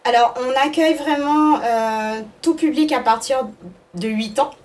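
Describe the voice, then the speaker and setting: Woman school director explaining stuff in an interview, highish voice, bit hesitant, mic a little far off. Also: a little slow, darkish tone.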